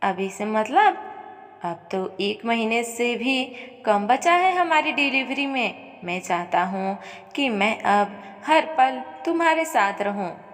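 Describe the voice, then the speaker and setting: Woman reads devoted young husband in story audio; gentle, unhurried, echoing sound. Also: a little high, expressive. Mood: caring. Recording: long reverb on voice.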